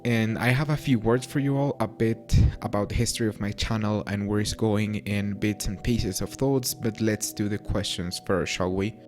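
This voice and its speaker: A young man from Mexico in his late teens with a Mexican accent softened by British touches, chatting casually and answering viewer questions with a relaxed, self-deprecating manner. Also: lowish voice, normal speed, hardly any pauses. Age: young adult. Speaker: YouTuber. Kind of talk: casual Q&A to camera. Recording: faint music underneath.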